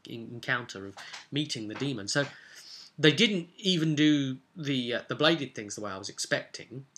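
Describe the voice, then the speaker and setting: A man giving a casual, unscripted recap as a game master, halting and rambling with sudden emphatic bursts. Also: mid-range voice, pushed and bright. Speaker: game master recapping a tabletop role-playing session.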